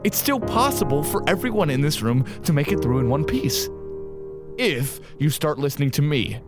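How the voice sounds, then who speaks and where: An actor playing a cornered, calculating colonel in an audio drama, with a deliberate, theatrical, condescending way of talking. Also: male voice, on the high side, sing-song, over loud music. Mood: smug, persuasive. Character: cornered, calculating male colonel, menacing and smug.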